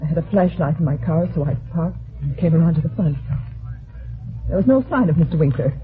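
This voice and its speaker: An actress playing a frightened, earnest widow in an old-time radio drama, speaking anxiously and hesitantly. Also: female voice, low-pitched, brisk, close to an everyday voice. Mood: shaken, explaining. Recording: thin, narrow-band old radio recording.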